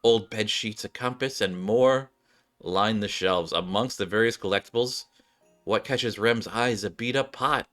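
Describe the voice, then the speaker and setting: A man's voice: fairly low pitch, a little slow, a bit bright, faint music behind. A man streaming a game, reading its story text aloud and commenting casually. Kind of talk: casual gameplay commentary and read-aloud narration.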